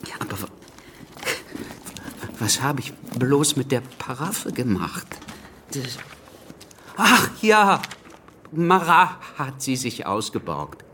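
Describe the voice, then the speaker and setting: A man's voice: medium pitch, big pitch swings, slow pace, pauses often, bright, forceful tone, a little hiss or hum, heavily acted. Stammering, fussy man, swinging intonation. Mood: flustered, evasive. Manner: hesitant, fussy, pedantic. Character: fussy, anxious, stammering male ministry official. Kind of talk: radio drama acting.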